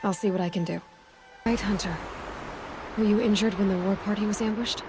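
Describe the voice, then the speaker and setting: An actress playing a self-assured young hunter in a video game, speaking directly and probingly. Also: female voice, mid-range voice, steady tone, a little fast, music in the background, close to an everyday voice. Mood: questioning, direct. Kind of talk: video game dramatic acting.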